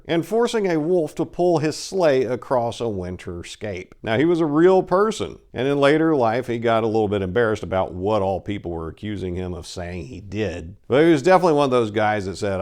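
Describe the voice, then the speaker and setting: A man's voice: medium pitch, darkish tone. A man hosting a story-reading channel, speaking in a theatrical, courtly and emphatic manner. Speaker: host and dramatic story reader. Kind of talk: scripted channel introduction, theatrical narration.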